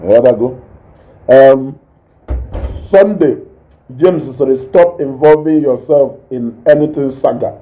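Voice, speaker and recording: A man with a Nigerian accent and a naturally loud voice, hosting a casual live stream, reading viewer comments and mixing English with Igbo while he eats. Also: medium pitch, takes it slowly, poor audio. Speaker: online show host reading viewer comments.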